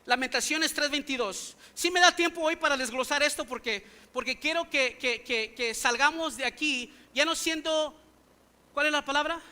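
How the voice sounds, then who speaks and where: High, bright-voiced male pastor preaching. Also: moderate pace. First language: English. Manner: emphatic, full of rhetorical questions to the congregation. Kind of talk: sermon. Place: church sanctuary.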